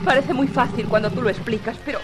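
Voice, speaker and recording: An actress playing a brave, devoted professor and space pilot, earnest and dramatic, in 1950s radio serial style. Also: female voice, fairly high pitch, fast talker, natural voice. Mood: worried. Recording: vintage radio drama with loud music.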